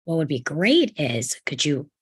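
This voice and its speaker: A woman reading the part of a bossy, crude young teenage boy in a screenplay table read, with a husky voice and a blunt, pushy manner. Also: low-pitched, sing-song, a little slow, heavily acted.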